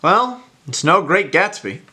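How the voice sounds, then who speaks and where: A man reading a calm veteran actor. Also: sits high, unhurried, heavily acted. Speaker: off-camera scene reader. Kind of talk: reading lines in a scene.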